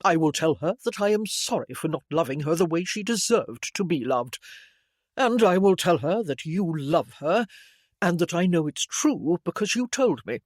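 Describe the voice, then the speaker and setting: A narrator voicing an earnest, apologetic young gentleman with a formal, emphatic way of speaking, in an audiobook story. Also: medium pitch, lively intonation, moderate pace, dry recording, studio quality, close to an everyday voice. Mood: earnest, calmer.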